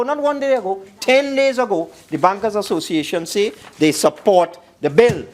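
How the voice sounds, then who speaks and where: A man's voice: high-pitched, a little hiss or hum. A man with a Trinidadian accent giving an emphatic parliamentary speech, repeating phrases for stress. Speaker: government minister speaking in parliament.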